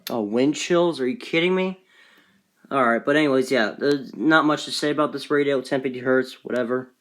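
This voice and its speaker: A man's voice: medium pitch, brisk, fairly bright tone. A man casually presenting a collection in an off-the-cuff, rambling, matter-of-fact way, recorded on a handheld phone. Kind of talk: casual off-the-cuff show-and-tell.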